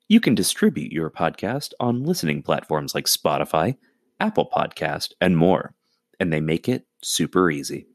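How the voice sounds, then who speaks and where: Male ad reader, very deep and lively pitch, dry close sound, playful deadpan. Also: no room sound. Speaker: podcast ad reader. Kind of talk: jokey scripted ad read.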